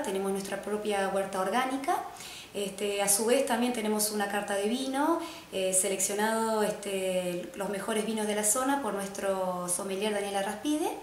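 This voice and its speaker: A middle-aged woman, presenting an inn as its manager in a measured, slightly hesitant, informative way. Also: mid-range voice. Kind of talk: interview presentation to camera. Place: indoor living room. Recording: echoey room with faint noise.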